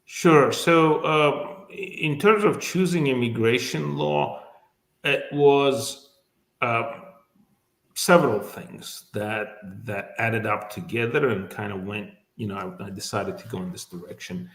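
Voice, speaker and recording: A man's voice: medium pitch, takes it slowly, creaky, a bit bright, roomy sound. A middle-aged man from Azerbaijan, native speaker of Azerbaijani, telling his story in a casual interview, thoughtful, with many fillers and restarts. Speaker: immigration lawyer, guest.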